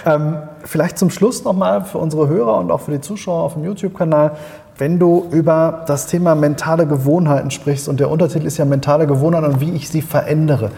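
Mellow gravelly interviewer, man. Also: on the high side, steady tone, a little fast, long reverb tail. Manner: affirming listener, long framing questions. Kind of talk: podcast interview.

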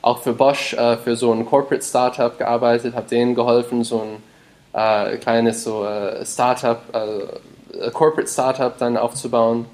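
A man's voice: mid-range voice, flat delivery, measured pace, a little mellow. Hesitant man. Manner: hesitant, many fillers and restarts, mixes in English words. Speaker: podcast guest, startup founder. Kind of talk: casual interview talk.

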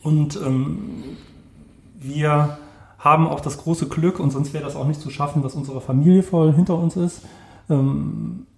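A man's voice: fairly high pitch, fairly even intonation, unhurried, gravelly. A man in his forties from the Saarland, answering interview questions in a casual, hesitant way with many fillers and restarts. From Saarland, Germany. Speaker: entrepreneur, interview guest.

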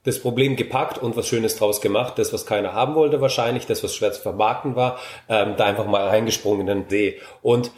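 A man's voice: on the low side, a little fast. A man hosting a podcast, asking questions with many hesitations. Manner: asks questions, hesitant with fillers. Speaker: podcast host. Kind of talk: podcast interview. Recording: roomy echo.

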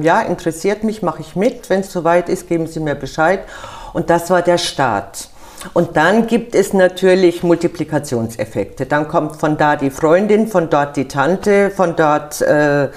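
Low-voiced older Bavarian woman. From Munich, Bavaria. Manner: direct, lively, with frequent fillers. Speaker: interview guest, activist group organizer. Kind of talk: conversational interview.

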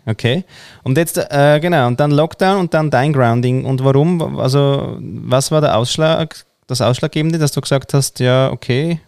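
A man's voice: mid-range voice, normal speed, a little mellow, clear, resonant tone, no room sound. Male interviewer, curious and encouraging, close dry sound. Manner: curious, encouraging, lightly joking. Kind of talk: casual conversational interview.

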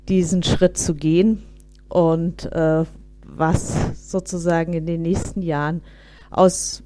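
This is a woman in her thirties from Berlin with a fairly low voice. She talks as a local politician giving conversational answers in an interview. The sound is dry, with hardly any room on it. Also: a little mellow, no echo. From Berlin, Germany.

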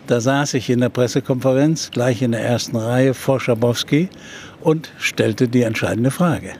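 An older man, a veteran journalist, recalls a historic press conference in an interview. His telling is halting and full of filler sounds, his pitch sits in the middle range, and a faint noise runs underneath. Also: a little mellow. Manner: hesitant storytelling with many fillers. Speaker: journalist and TV presenter. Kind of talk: recounting a historical event in an interview.

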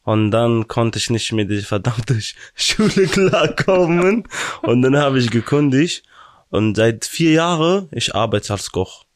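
A man's voice: medium pitch, lively intonation, no room sound. Guy in his 20s from afghanistan, not a native speaker, laughs a lot, dry close sound. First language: not German. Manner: laughs easily, halting non-native German. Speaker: guest. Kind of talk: casual interview chat.

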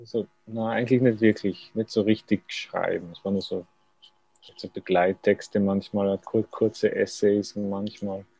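A man's voice: lowish voice, little pitch movement, measured pace, gravelly, a little mellow, breathy edge, rough recording. A man, a writer thinking aloud in a thoughtful, self-deprecating way as a radio interview guest.

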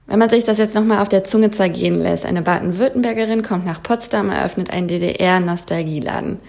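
A woman's voice: medium pitch, a little fast, vocal fry, darkish tone. A woman hosting a podcast, interviewing a guest and then summing up in an earnest, reflective manner with a few fillers. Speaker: podcast host.

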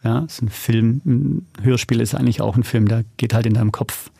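A middle-aged man speaks as an interview guest in a gravelly, creaky voice. He tells things in a colloquial, anecdotal way and tags his statements with short questions. The recording is dry, with no room sound. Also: medium pitch, a little fast, warm tone, no room sound. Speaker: interview guest, audio drama producer. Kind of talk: casual interview conversation, anecdotal.

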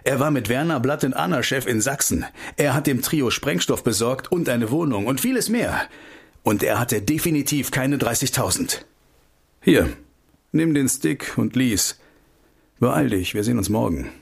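A husky male investigator in a radio play, bit of fry, urgent, just rattles out facts. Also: medium pitch, animated, normal speed. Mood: urgent, insistent. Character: male investigative researcher briefing a contact, urgent and knowing. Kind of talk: radio drama dialogue.

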